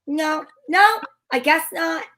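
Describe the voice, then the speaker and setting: A woman's voice: high voice, expressive, takes it slowly, sharp tone, heavily acted. A woman playing a breezy, wisecracking private detective in an amateur old-time radio drama, wry and playful. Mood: casual.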